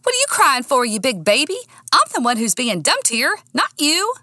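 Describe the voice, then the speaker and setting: Hot-tempered jilted Texan woman, acted by a female audiobook reader, high and squeaky, wildly swooping pitch. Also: big pitch swings, measured pace, a bit bright, no echo, studio quality, exaggerated voice. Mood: furious. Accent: Texas. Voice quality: squeaky when worked up. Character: hot-tempered, heartbroken Texan woman, shrill when enraged.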